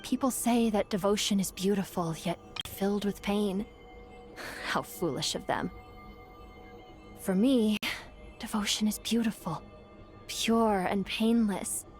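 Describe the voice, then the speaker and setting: A woman voicing an ancient, devoted djinn in a video game, eloquent and measured, with a seductive, enigmatic manner. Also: mid-range voice, darkish tone, faint music behind, natural voice. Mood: reverent, tender. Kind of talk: dramatic video game voice acting.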